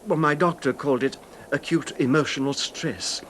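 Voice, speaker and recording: An actor playing an emotionally fragile, apologetic husband who hesitates and stammers in a 1970s radio drama. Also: male voice, on the high side, normal speed, a bit bright, close to an everyday voice. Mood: wistful, reflective. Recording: noisy 1970s radio broadcast recording.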